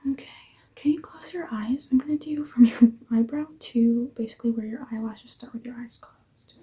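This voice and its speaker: A tired woman whispering softly through an ASMR face-measuring roleplay, yawning now and then and apologizing often. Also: a little high, moderate pace. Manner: soft whispering, apologetic asides.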